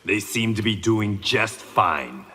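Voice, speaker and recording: An actor playing a calm, confident swordsman in a fighting game, composed and dry. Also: lowish voice, takes it slowly, very noisy. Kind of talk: video game fighter dialogue.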